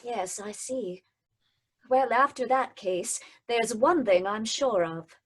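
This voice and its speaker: A woman playing a sharp-witted female companion in a radio drama, wry and questioning. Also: on the high side, slow, breathy edge. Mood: curious. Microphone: home mic over video call.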